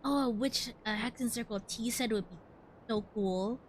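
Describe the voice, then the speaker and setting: A woman's voice: fairly high pitch, unhurried. A woman streamer giving casual, musing commentary on a game playthrough, hesitant but enthusiastic. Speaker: VTuber streamer.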